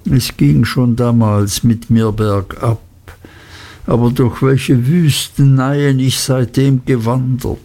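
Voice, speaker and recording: A man's voice: mid-range voice, fairly even intonation, slow pace, dark tone. A man voicing a despairing, guilt-ridden older male lawyer who talks in an anguished, self-reproaching way.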